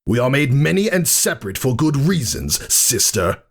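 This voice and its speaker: A man performs a haughty pegasus warrior in a fantasy story, pitched well up from his own voice and swinging widely. He is menacing and scornful, declaiming in a husky rage. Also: big pitch swings, moderate pace, exaggerated voice. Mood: bitter, threatening. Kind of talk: dramatic fan fiction reading.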